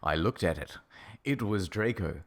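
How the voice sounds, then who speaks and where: A man's voice: sits low, expressive, a little slow, noisy background. A man reading fan fiction mock-seriously as a melodramatic, sulky, foul-mouthed teenage goth girl narrator.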